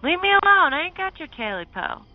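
A woman voicing a frightened old mountain man who calls out loudly in a rustic way. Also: very high-pitched, very animated, a little fast, muffled recording, pitched above the performer's own voice. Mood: calling, scared. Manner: loud rustic calling.

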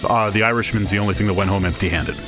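A man's voice: lowish voice, rapid-fire, over music. A man co-hosting a show as a film reviewer, talking in a conversational, opinionated way. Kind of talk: casual movie chat.

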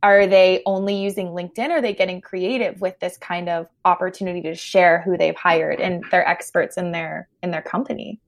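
A woman hosting a podcast who asks interview questions in a measured, thoughtful way. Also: on the low side, steady tone, gravelly. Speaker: podcast host.

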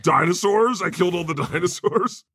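A man's voice: high voice, moderate pace, a bit bright, slightly rough, no room sound, studio quality. A man playing a crude, brash God. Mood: mocking. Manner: profane, brash, laughing. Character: God, boastful crude male deity. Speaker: podcast co-host. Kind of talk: improvised comedy podcast banter.

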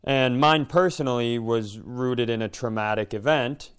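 A man co-hosting a casual chat, thinking aloud in a halting, reflective way and often breaking off to rephrase. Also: mid-range voice, clean tone. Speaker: podcast co-host.

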